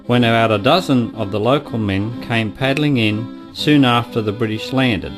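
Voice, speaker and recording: Man, medium pitch, reading historical accounts aloud, guitar music underneath. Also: moderate pace. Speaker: narrator. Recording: plucked guitar music behind voice.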